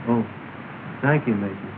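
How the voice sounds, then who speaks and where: A man's voice: lowish voice, unhurried, lightly acted. Man playing a sad polite young gent in love, old 1930s movie, thin crackly hissy sound. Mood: polite, resigned. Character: earnest, dejected young gentleman in love. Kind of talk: 1930s film drama acting. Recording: scratchy, tinny 1930s film soundtrack.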